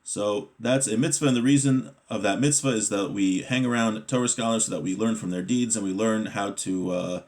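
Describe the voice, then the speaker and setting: A man teaching a Torah class, reading the text aloud and explaining it plainly and informally, repeating points with hesitant pauses. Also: fairly low pitch, darkish tone. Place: live-streamed online class.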